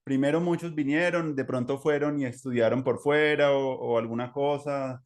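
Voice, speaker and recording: Male podcast interviewer, Colombian accent, medium pitch, informal and rambling conversational delivery. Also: steady tone, normal speed. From Manizales, Colombia. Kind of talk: casual chat.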